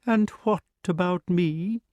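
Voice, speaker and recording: Snooty old owl, high pitched and slow, super sarcastic. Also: male voice, darkish tone, no echo, lo-fi sound. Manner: sarcastic, dry, clipped. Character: sarcastic, cold old male owl tutor. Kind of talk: audiobook character voice.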